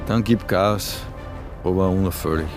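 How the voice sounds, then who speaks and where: A man with an Austrian accent playing a gruff, bossy character who orders his companions about in a radio drama. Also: sits low, normal speed, over loud music, natural voice. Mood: terse, tense.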